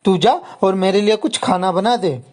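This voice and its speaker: An actor playing a lazy, quick-tempered husband in a dramatic cartoon story, loud, indignant and whining. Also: medium pitch, natural voice. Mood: indignant, defensive.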